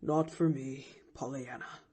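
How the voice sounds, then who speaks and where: Gentle, wounded older man, slow and dark-toned, read by a woman. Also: steady tone, breathy edge, heavily acted. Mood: grave hurt. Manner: low and grave, sinking to a hushed murmur. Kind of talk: audiobook character voice.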